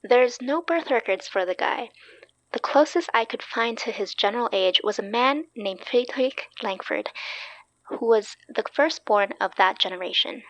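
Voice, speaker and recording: A woman plays a keen young researcher in a horror podcast drama. The voice is high, with casual, wry explanations, recorded dry and a little dull. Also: expressive, normal speed, no echo, lightly acted. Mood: eager, wry. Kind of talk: naturalistic horror audio drama dialogue.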